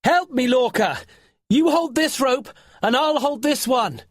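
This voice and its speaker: A brave, practical young boy sailor, as a man reads him aloud, raised high and bright above the man's own voice. He calls out urgently as if over a howling storm, with a slightly husky grain. The sound is close and dry, like a storybook recording. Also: huge pitch swings, moderate pace, no room sound, exaggerated voice. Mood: shouting.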